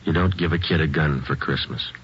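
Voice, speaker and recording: An American actor playing a terse, matter-of-fact police detective narrating a 1940s radio crime drama in a clipped deadpan. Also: male voice, extremely low pitch, fairly quick, background noise. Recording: muffled old radio recording with loud music.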